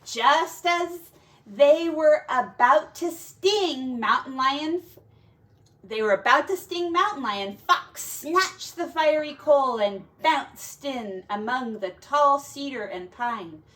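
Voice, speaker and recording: A woman reads a children's folk tale aloud with a fairly high voice. Her delivery is unhurried and dramatic, with big swings in pitch. She sounds like a teacher reading to young listeners. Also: animated, rough recording. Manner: dramatic, deliberate, with quiet asides to young listeners.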